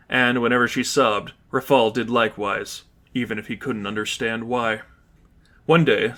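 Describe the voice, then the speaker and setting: A man's voice: medium pitch, pushed and bright. A man reading scripted fiction aloud as an audiobook narrator.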